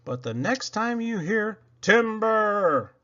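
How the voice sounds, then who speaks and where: Animated man reading aloud, high-pitched and theatrical. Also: highs cut off. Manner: theatrical, expressive, dramatic pauses. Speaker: reader of children's poetry. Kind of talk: animated storybook read-aloud.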